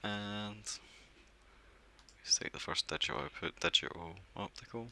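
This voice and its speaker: A man giving a relaxed step-by-step software setup walkthrough, explaining as he clicks through with occasional fillers. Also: fairly low pitch, a little slow, clear, resonant tone. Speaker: software tutorial presenter. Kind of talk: screen-recorded software walkthrough.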